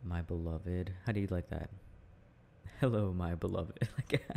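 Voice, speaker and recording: A young man speaking in a playful, affectionate, halting murmur, like an intimate, casual ASMR boyfriend roleplay, with a deep gravelly voice. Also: darkish tone. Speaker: boyfriend roleplay audio performer. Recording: faint background noise.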